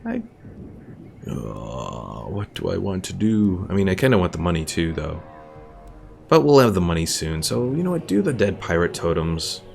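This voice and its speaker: Deep, gravelly male game streamer. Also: sing-song, normal speed, darkish tone. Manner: thinking aloud, explaining game mechanics. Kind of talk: casual live gameplay commentary. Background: game music.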